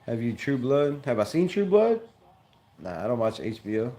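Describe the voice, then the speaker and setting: A man's voice: mid-range voice, sing-song, normal speed, dark tone. Slangy young man hosting a fan livestream. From Southern California. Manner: loose, slangy, repeats himself. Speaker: fan channel livestream host. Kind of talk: casual livestream chat reading comments.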